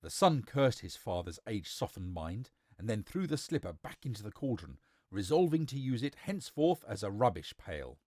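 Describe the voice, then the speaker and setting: Male storybook narrator, theatrical delivery, very lively pitch movement, dry close recording. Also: moderate pace, dry recording. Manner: theatrical, emphatic.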